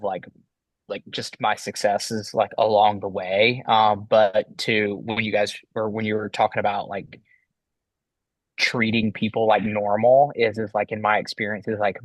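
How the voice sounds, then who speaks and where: A man's voice: fairly low pitch, no room sound. A man who hosts a podcast, sharing his thoughts on a group call in a rambling way, full of "like". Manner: rambling, fillers of "like". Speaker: podcast host.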